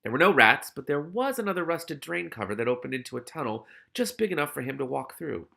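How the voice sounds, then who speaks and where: A man's voice: on the high side, very animated, fast, vocal fry, pushed and bright. A man reading a novel aloud expressively, with casual, candid asides of his own.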